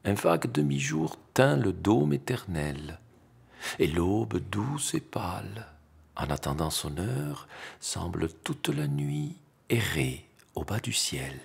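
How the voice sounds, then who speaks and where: A man reciting literary poetry in an expressive, theatrical, declamatory manner with dramatic pauses and a husky, gravelly voice. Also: on the low side. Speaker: poetry reader.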